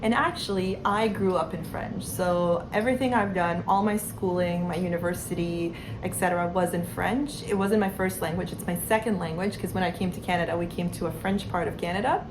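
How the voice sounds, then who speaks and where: Homeschool mom chatting about her book picks, lowish voice, hiss in the background. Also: fairly quick, few pauses. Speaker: homeschooling mother sharing curriculum picks. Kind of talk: casual talk to camera. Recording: steady background noise.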